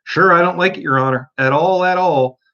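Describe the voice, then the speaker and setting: A man's voice: on the high side, brisk, bright, forceful tone, dull audio. A man voicing a deferential, rustic male animal servant from a storybook, folksy and obsequious. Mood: deferential, uneasy.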